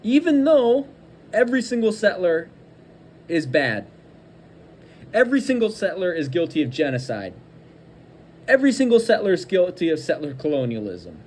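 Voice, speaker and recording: Combative high-pitched man, animated. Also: normal speed, long pauses, slight background noise. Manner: combative, insulting, emphatic repetition. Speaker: host of a political commentary show. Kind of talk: angry political commentary reacting to clips.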